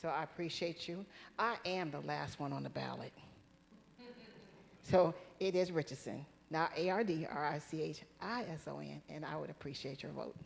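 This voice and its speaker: Low gravelly woman giving a direct pitch. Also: moderate pace, in a large hall. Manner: direct, plain-spoken. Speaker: city council candidate. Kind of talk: campaign speech at a forum. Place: community forum hall.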